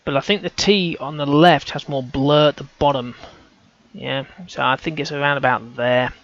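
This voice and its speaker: A man's voice: medium pitch, fairly even intonation, normal speed, fairly bright tone, no room sound, muffled recording. A man talking through on-screen images, casual and hesitant, often restarting his sentences as he thinks aloud. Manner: hesitant, self-correcting, casual. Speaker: amateur researcher.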